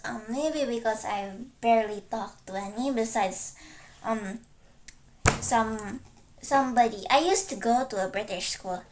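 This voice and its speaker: A teenage girl whose first language is Chinese, chatting casually on a livestream while she studies, rambling and hesitant, with many fillers and self-corrections. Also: on the high side. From Philippines. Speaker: vtuber streamer.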